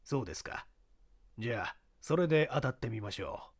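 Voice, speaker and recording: A man plays a shrewd baseball scout in an audio story. He drops into a lower, measured register and argues persuasively. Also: expressive. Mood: calm.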